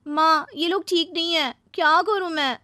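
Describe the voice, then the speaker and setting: A woman's voice: extremely high pitch, fairly even intonation, moderate pace, sharp tone, dry recording, clean studio sound, voice pushed up. A woman voicing an anguished, guilt-ridden young wife and mother in a plaintive, emotional story delivery. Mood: distressed.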